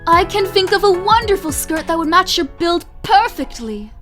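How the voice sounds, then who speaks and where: Woman acting gushing high-pitched glamorous flirt. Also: expressive, fairly bright tone. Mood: flirty, teasing. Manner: effusive, teasing, drawn-out flourishes. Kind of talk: audio drama acting. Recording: music under the voice.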